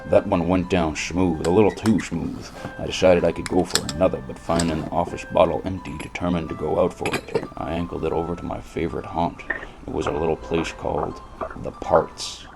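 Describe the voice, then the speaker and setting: An American actor playing a world-weary, cynical, hard-drinking detective who narrates in a brooding, deadpan, gravelly noir style. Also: male voice, low voice, a little fast, music in the background. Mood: wry, sardonic. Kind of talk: scripted first-person hardboiled noir narration.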